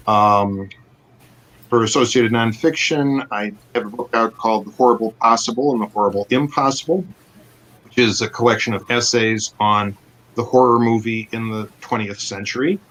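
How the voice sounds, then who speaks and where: A man's voice: medium pitch, fairly bright tone, low-quality recording. A man introducing himself as an author in a matter-of-fact, informative panel chat. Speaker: author guest.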